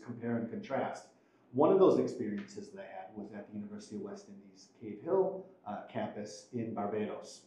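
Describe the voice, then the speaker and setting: A middle-aged American man giving measured, explanatory interview answers as an attorney, pausing between phrases. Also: medium pitch, mellow. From Buffalo, western New York, United States. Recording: very echoey room.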